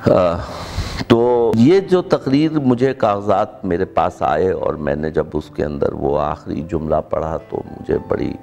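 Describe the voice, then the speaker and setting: A middle-aged man with a Pakistani accent, presenting in a measured, formal, oratorical way. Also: deep voice, vocal fry, mellow. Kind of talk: introduction and formal recitation of a written speech.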